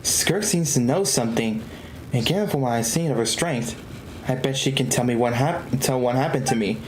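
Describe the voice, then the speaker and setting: A man's voice: mid-range voice, moderate pace, background noise. A man live streaming a video game, reading dialogue aloud and reacting casually with exclamations.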